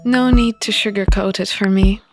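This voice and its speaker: A woman's voice: medium pitch, steady tone, moderate pace, over music, close to an everyday voice. A woman voicing a defiant, sardonic young runaway royal in a visual novel, sarcastic and challenging. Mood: wry.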